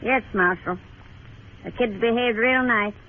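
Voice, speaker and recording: An actress playing a plain-spoken, composed frontier widow in a radio western, folksy and matter-of-fact. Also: female voice, on the high side, unhurried, close to an everyday voice. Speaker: supporting actress. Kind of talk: old-time radio western acting. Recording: tinny old radio recording, faint noise.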